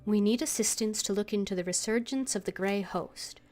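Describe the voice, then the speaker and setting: A woman game streamer casually reading game text aloud and muttering low-key asides. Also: moderate pace, darkish tone. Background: game music, keyboard typing.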